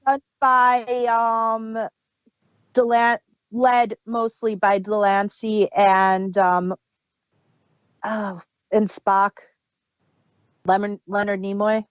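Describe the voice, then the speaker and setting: A woman's voice: medium pitch, flat delivery, very slow, long pauses, no room sound, dull audio. A woman hosting a casual audio drama review, explaining things in detail like an enthusiastic fan. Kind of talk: casual group discussion.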